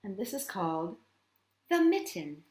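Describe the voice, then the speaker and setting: A woman hosting a storytime for children, speaking in an animated, expressive way straight to her young audience. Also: mid-range voice, a little slow, roomy sound.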